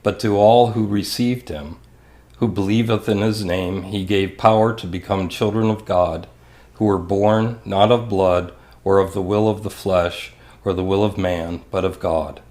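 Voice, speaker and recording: A man reading scripture for a church service in a measured, formal way. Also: deep voice, fairly even intonation, a little mellow. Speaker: scripture reader.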